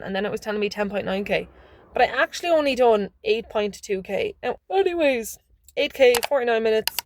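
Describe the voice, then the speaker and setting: Chatty woman vlogging. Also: a little high. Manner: chatty, rambling, restarts mid-word. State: a bit hoarse and phlegmy. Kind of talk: casual vlog chat.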